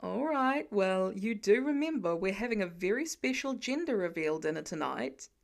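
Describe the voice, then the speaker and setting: A woman's voice: mid-range voice, lively intonation, normal speed, creaky, pitched below the performer's own voice. A woman voicing a wry, fatherly, casually teasing man in an audiobook reading. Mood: wry, reminding. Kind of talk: character dialogue in audiobook reading.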